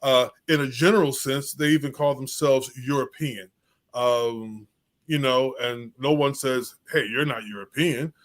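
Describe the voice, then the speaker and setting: A man talking as a guest genealogist, measured and a little hesitant, with many fillers. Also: mid-range voice, fairly even intonation. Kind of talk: casual conversation.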